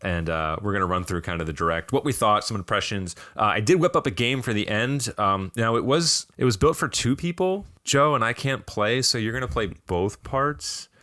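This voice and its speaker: An American man with a slightly raspy voice, chatting casually and with some self-deprecation as the host of a video game podcast. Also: on the low side, normal speed, few pauses, bright, forceful tone, no room sound, studio quality.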